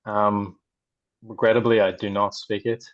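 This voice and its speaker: Hesitant low-voiced man, lo-fi call. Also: flat delivery, measured pace. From Ireland. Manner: hesitant, many ums and restarts. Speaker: translator, panel guest. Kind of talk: conversational answer in a panel discussion.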